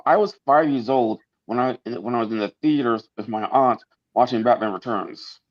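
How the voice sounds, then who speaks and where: A man's voice: medium pitch, normal speed, dull audio. A man in his thirties co-hosting a pop culture podcast, talking casually and restarting his sentences. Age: 30s. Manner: stammering restarts, earnest. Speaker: podcast co-host. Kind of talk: casual chat.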